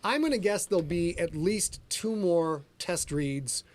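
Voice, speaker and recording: A man hosting a livestreamed podcast, reading his own fiction aloud and chatting in a quick, energetic, profane, joking way. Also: high voice. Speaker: author and podcast host. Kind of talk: livestream chat and story reading. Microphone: livestream camera setup.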